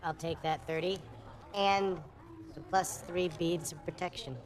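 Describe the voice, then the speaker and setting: A woman's voice: fairly low pitch, fairly even intonation, a little slow, lightly acted. A woman playing an assertive, dealing game event organizer in a comedy film, recorded in a reverberant space. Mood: casual. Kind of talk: scripted comedy film acting. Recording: reverberant room, long echo.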